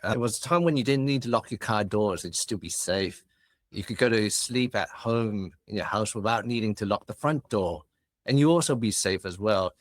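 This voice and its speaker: A man's voice: on the low side, moderate pace, dry recording. A man in his forties from Hong Kong with a mixed Australian, British and Cantonese accent, chatting expansively as a podcast guest. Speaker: guest, business founder. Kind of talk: casual interview chat.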